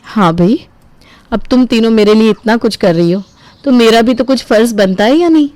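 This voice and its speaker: A woman's voice: a little high, moderate pace, a little mellow, no echo, close to an everyday voice. Woman voicing a kind, trusting elder sister-in-law. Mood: tender, grateful. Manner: effusive, affectionate, blessing. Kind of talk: Hindi family drama cartoon storytelling.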